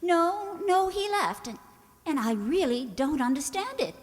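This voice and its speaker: Radio drama: female actor as devoted, fretful wife, hesitant and sweet, very high and animated, in a reverberant hall. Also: a little slow, heavily acted. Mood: puzzled, anxious. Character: affectionate, nervous wife of a secretive husband. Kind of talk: radio play acting. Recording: echoey hall, stage radio play.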